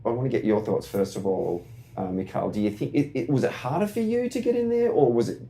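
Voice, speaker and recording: A man's voice: mid-range voice, lively intonation, fairly quick, dark tone. A man from Australia, a community organiser, asking questions in a searching way with many fillers and restarts. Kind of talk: casual discussion.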